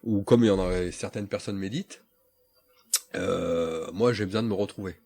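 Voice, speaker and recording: Deep-voiced relaxed French man. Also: gravelly, no room sound. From northern France. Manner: relaxed, halting, laughs often. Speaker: podcast guest, former naval commando. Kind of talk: casual interview talk.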